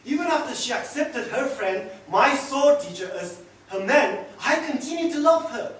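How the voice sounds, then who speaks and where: A man acting a passionate, boastful, unrepentant young womanizer in a theatrical stage monologue, swinging between hushed murmurs and loud declarations. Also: very high-pitched, expressive, normal speed. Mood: bitter, agitated. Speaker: actor. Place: echoey indoor room, recorded from a distance. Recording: echoey room, distant mic, faint noise.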